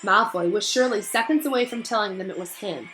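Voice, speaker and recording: A woman reading a storybook aloud as narrator, expressive, with casual asides to her listeners. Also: mid-range voice, quick pace, faint music behind.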